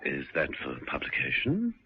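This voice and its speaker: A man's voice: very deep voice, huge pitch swings, moderate pace, slightly rough, heavily acted. An actor playing a suave male agent posing as a journalist, polite with dry understatement, in a radio drama. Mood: wry, polite. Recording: dull lo-fi radio broadcast.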